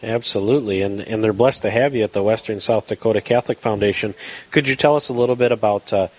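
A man's voice: lowish voice, fast, poor audio. A man hosting a live radio talk show from a coffee shop, talking in a rambling way with many fillers. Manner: rambling, filler-heavy, friendly. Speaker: radio host.

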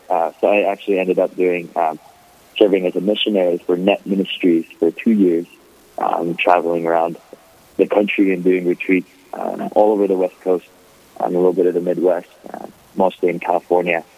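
A young man from the Philippines, a guest on a radio show, talking about himself in a relaxed way with many ums. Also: low voice. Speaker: guest, diocesan youth associate. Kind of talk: phone-in interview. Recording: narrow phone line.

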